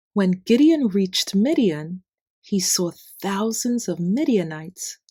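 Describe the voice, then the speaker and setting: A woman reading a children's bedtime story in simple, deliberate phrases with expressive emphasis. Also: lowish voice, no room sound, clean studio sound. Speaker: children's Bible story narrator. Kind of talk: scripted read-aloud bedtime story narration.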